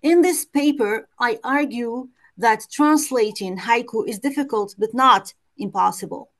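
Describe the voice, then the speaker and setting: A woman presents an academic paper over a video call. Her voice sits a little high, and she reads in a careful, measured way, sometimes stopping to restart a word. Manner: careful, measured reading with occasional hesitant restarts. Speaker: conference presenter. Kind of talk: reading a prepared academic paper. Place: home or office on a video call.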